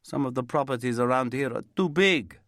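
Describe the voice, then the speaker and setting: A man's voice: mid-range voice, fairly quick, a bit bright, no echo, clean studio sound, voice pushed up, close to an everyday voice. A man voicing an older Italian window cleaner, tired and worn down, with an Italian accent. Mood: weary.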